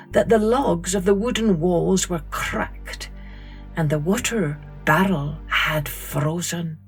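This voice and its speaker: A woman narrating scripted stories for children in a measured, deliberate way with pauses. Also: fairly low pitch. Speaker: podcast host and storyteller.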